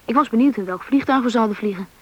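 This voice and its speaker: A young performer playing a curious, eager Russian boy in a radio play, with a youthful, slightly husky voice, in a muffled old recording. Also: mid-range voice, quick pace, natural voice. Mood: recounting. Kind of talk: radio play narration and dialogue.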